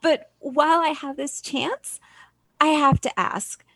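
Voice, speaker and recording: A woman's voice: high voice, fairly even intonation, slow pace, vocal fry, a bit bright, heavily acted. A woman playing an earnest, ambitious young newcomer to radio, acted naturally in a comedy drama. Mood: earnest, nervous.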